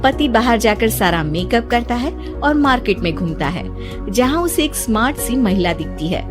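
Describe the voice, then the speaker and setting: Female story narrator: high, expressive voice, scripted tale delivery over background music. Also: moderate pace. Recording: music behind the voice.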